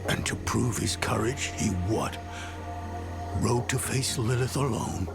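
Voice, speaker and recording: An actor playing a weary, earnest warrior captain in a game cutscene. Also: male voice, mid-range voice, unhurried, creaky, over music. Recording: game score behind.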